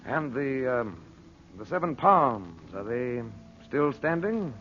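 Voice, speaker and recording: An actor playing a calm, probing adventurer hero in a 1940s radio drama, coaxing yet firmly commanding. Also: male voice, mid-range voice, takes it slowly, muffled recording, a little hiss or hum. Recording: band-limited 1940s radio recording with music.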